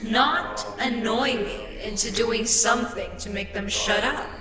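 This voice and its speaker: Slow, echoing eldritch goddess, acted by a woman. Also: on the high side, crowd noise, natural voice. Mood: weary, annoyed. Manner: sardonic, exasperated, theatrical, deliberate pauses. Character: weary, irritable ancient eldritch deity, sardonic yet curious. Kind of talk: ASMR fantasy audio roleplay. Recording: echoing long reverb with loud music. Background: loud music.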